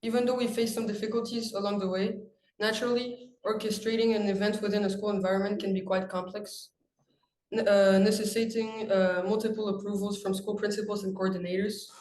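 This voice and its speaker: Halting teenage French boy presenting. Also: high-pitched, flat delivery, darkish tone. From France. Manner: halting, restarting longer words. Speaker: student presenter. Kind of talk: reading a prepared presentation. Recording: echoey room with faint noise.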